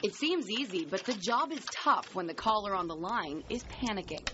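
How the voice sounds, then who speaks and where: A woman's voice: mid-range voice, lively intonation, normal speed, dull audio, noisy background. An American woman narrating a scripted television news report as the reporter.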